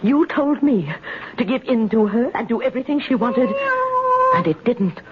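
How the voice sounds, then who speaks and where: A woman's voice: on the high side, animated, moderate pace, close to an everyday voice. An actress playing a lonely, put-upon woman in a radio drama, plaintive and hesitant but resentful and protesting. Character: lonely, put-upon timid spinster, resentfully defending her house. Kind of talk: 1970s radio drama acting. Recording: dull, very noisy old radio recording.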